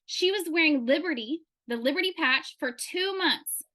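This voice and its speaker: Female meeting speaker, high-pitched and sharp, emphatic storyteller with punchy, insistent phrasing. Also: expressive, moderate pace. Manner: punchy, insistent short sentences. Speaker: meeting participant sharing another member's testimonial. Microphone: video call.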